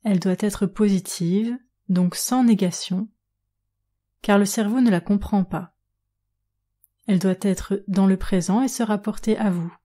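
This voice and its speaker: Woman, meditation guide, calm and measured, unhurried slow delivery, dark relaxed timbre, medium pitch, long pauses. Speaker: yoga nidra instructor.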